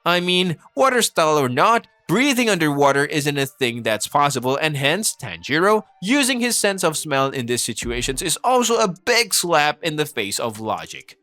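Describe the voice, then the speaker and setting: Animated, bright-voiced male video narrator. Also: fairly high pitch, moderate pace, few pauses, no room sound. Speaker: narrator of an anime commentary video. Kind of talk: scripted video-essay narration. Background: background music.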